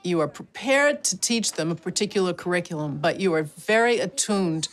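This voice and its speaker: A woman's voice: on the low side, expressive, normal speed. A woman teacher speaking thoughtfully and conversationally in an interview. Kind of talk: casual interview.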